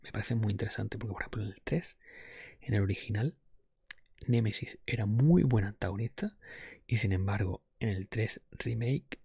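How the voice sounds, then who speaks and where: A man with a Spain Spanish accent whispers close to the microphone in a breathy, informal, rambling ASMR style, giving his opinions as a podcast host. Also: lowish voice, moderate pace, dry recording. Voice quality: breathy, mostly whispered, soft-spoken. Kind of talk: ASMR, whispered casual video game review.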